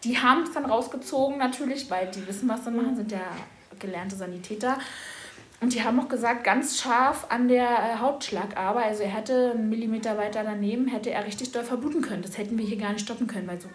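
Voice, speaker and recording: Slightly hoarse woman in her thirties, chatty. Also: fairly high pitch, fast talker, roomy sound, slight background noise. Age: 30s. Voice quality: lively, chatty storyteller. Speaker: school first-aid staff answering pupils' questions. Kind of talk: casual chat with schoolchildren.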